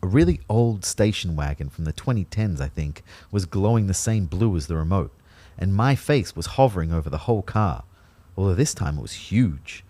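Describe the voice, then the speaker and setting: A man with an Australian accent playing a bemused, wry first-person narrator in an audio drama, with a husky, expressive voice. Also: low voice, normal speed, no room sound.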